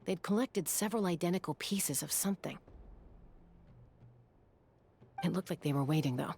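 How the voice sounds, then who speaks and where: A woman's voice: on the low side, quick pace, a little mellow, husky. A woman voicing a composed, dutiful young warrior in a video game, dry and matter-of-fact.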